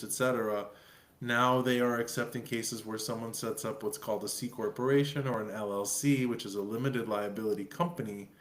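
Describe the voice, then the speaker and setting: A middle-aged man from Argentina, an immigration lawyer, answering in an earnest, explanatory way on a video call. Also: fairly low pitch. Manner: earnest, explanatory, repeats words as he restarts. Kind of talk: webinar interview answer. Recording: echoey room.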